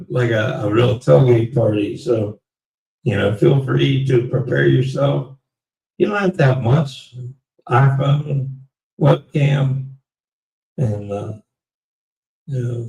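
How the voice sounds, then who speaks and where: A man closing out his live stream in a rambling, halting way, his voice going raspy. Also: medium pitch, a little mellow, reverberant, distant mic, rough recording. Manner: rambling, slow and halting with many fillers. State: voice getting raspy. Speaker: livestream host. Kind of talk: casual live stream sign-off.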